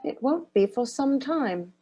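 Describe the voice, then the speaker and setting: A woman's voice: high voice, lively intonation, unhurried, warm tone, slight background noise. A woman playing a stern, stoical housekeeper in her sixties in a drama table read, speaking formally and solemnly. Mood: stern, curt.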